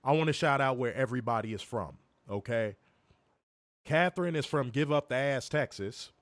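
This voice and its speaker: Young male stream host, hoarse, profane and joking, mid pitch, dry close mic. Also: expressive, normal speed, dry recording. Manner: profane, joking, talks straight to viewers. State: hoarse, recovering from illness. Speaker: co-host of a wrestling review stream. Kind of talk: casual live-stream chat. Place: home, in Chicago.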